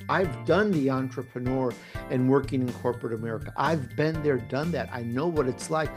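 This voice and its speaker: A man's voice: mid-range voice, normal speed, over music. A middle-aged man with a light Chicago accent, a podcast guest telling his story over a video call in an earnest, emphatic way that repeats phrases. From Italy, raised in Chicago. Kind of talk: conversational interview storytelling.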